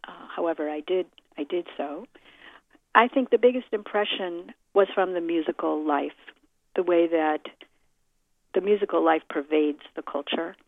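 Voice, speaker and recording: A middle-aged American woman giving reflective interview answers in a measured, deliberate way, pausing often as she thinks. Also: lowish voice, no room sound. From United States. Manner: measured, deliberate, with frequent pauses. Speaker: interviewee, concert pianist. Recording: muffled narrow-band phone or radio-like sound.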